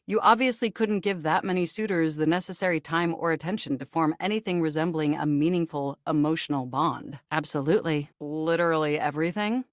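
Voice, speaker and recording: A woman's voice: on the low side, no echo, studio quality, natural voice. A woman voicing an earnest, lovestruck, anxious desktop computer in a dating game, with formal, wordy, precise diction. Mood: skeptical, prim, then smitten, gushing. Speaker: video game character voice actor. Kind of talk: scripted dating game dialogue.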